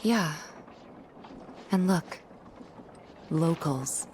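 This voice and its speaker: Game role: reserved young woman, subdued and hesitant, unhurried, lowish voice. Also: animated, a little mellow, a little hiss or hum, full character voice. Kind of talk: video game character acting.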